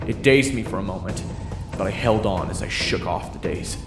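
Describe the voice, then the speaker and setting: Low-voiced man narrating over loud music. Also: normal speed. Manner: second-person storytelling with occasional swearing. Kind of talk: dramatic first-person audio roleplay narration. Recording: echoey room, loud music underneath. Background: loud game soundtrack music.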